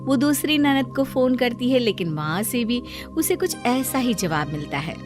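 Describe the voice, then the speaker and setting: A woman's voice: a little high, sing-song, moderate pace, over music. A woman narrating a scripted moral story as a storyteller.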